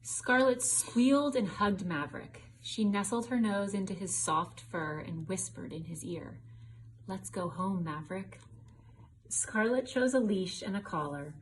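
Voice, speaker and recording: A woman's voice: medium pitch, expressive, unhurried, few pauses, a little hiss or hum, rough recording. A woman reading a children's picture book aloud in a storytelling style. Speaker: storybook reader.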